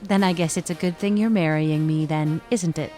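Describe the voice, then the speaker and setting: An actress playing a cheerful young fiancée in a 1930s-set audio drama, playful, reassuring and affectionately chiding. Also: female voice, low-pitched, moderate pace, dark tone, natural voice. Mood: happy, bright. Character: cheerful, teasing young bride-to-be in 1937. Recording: music under the voice.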